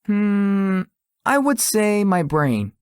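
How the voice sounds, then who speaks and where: Shy young man, acted by a woman, animated pitch. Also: drawn-out words, clear, resonant tone, no room sound, studio quality. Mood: friendly, gentle. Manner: hesitant, stammering when embarrassed. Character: shy, flustered, sweet and sleepy young man. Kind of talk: visual novel character acting.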